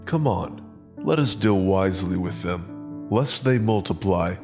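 A man playing a stern, ruthless ancient king in a dramatized scripture reading, speaking with deliberate command. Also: low voice, expressive, music in the background. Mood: wary, scheming. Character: stern, ruthless king of Egypt addressing his subjects.

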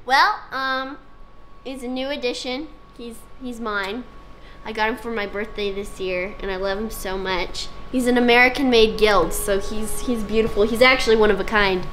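A young woman talking shyly at home, soft and hesitant with fillers. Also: fairly high pitch, moderate pace, soft background music, poor audio. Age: young adult. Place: living room couch.

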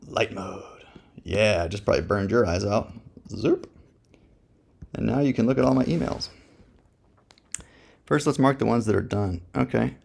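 A man with a gravelly voice, casually reviewing software while thinking aloud in a slangy, joking, laid-back way. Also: fairly low pitch, unhurried, pauses often.

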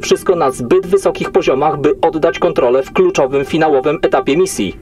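An actor playing an obedient military computer assistant in a sci-fi audio drama, speaking in formal, clipped, emotionless reports. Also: male voice, on the high side, a little fast, darkish tone, faint music behind, lightly acted. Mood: impassive.

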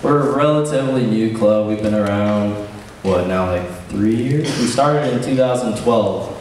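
A young man hosts a student cultural night from a stage, loose and chatty with plenty of fillers. His voice sits fairly low. The recording is distant and washed in long hall reverb, with a faint hum behind it. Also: normal speed. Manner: relaxed, chatty, many fillers. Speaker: emcee of a student cultural show. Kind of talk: casual stage hosting. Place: large event hall on a stage. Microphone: handheld mic heard through hall speakers. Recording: echoey hall from far off.

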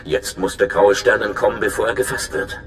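Ein Schauspieler als ernster, berechnender Informant und Spion in einem Videospiel, der eindringlich erklärt. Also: male voice, fairly low pitch, steady tone, fairly quick, a bit bright. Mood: scheming. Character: earnest, scheming male spy informant. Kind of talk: dramatic video game dialogue in German. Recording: echoey, noisy hologram transmission.

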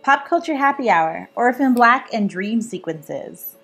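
A woman's voice: fairly high pitch, lively intonation, measured pace, a bit bright, breathy edge, soft background music. A woman who is an author, reading her own book aloud in a scripted style. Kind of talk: scripted read-aloud narration.

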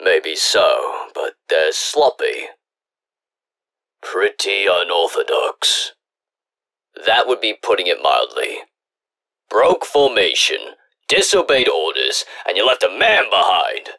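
An actor playing a gruff, commanding clone trooper drill officer in an animated sci-fi war drama, stern and authoritative, with a gravelly voice. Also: male voice, low-pitched, slow pace, pauses often, heavily acted. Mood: stern, critical. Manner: stern, authoritative, barking orders.

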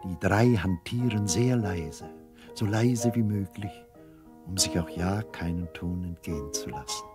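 A man narrating a children's radio play as a storyteller, measured, wry and expressive. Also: low-pitched, over music.

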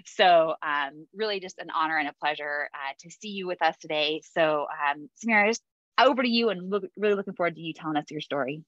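A woman's voice: low voice, brisk, a bit bright, no room sound. A woman hosting a Navy mentoring session over a video call, chatty, with many fillers and easy laughs. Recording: dull dry video-call audio.

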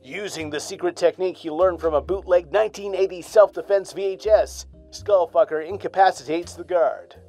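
A man's voice: sits high, normal speed, few pauses, warm tone, soft background music. Man narrating in mock old-time radio style. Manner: dramatic announcer delivery. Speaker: narrator and announcer.

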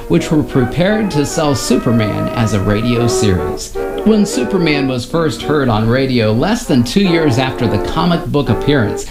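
Middle-aged male presenter, scripted announcer-style read, very animated intonation, music bed. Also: a little high. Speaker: host.

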